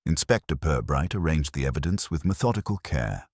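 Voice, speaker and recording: A man with an extremely deep voice narrates a mystery story. His delivery is formal, measured and precise, yet the pitch rises and falls in lively sweeps. The sound is close and dry. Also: slightly rough, no echo. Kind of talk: scripted audio mystery narration, formal literary.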